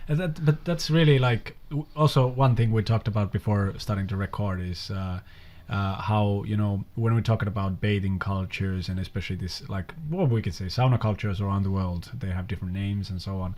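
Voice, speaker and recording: A man's voice: lowish voice, steady tone, a little fast, a little mellow. Thoughtful man hosting. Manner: thoughtful, hesitant, many fillers. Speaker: podcast host. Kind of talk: conversational interview.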